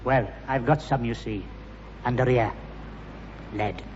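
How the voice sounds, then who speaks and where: A man's voice: medium pitch, takes it slowly, darkish tone, lightly acted. An actor playing a timid, apologetic man with scrap to sell in a British sitcom, speaking politely and hesitantly. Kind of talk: British sitcom acting before an audience. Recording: muffled, reverberant old sitcom soundtrack, faint noise.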